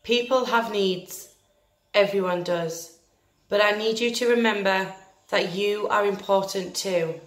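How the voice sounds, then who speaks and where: A woman leading a guided meditation in a gentle, soothing, deliberate manner, leaving long pauses between phrases. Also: medium pitch. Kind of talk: guided meditation, scripted narration. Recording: roomy echo with faint music behind.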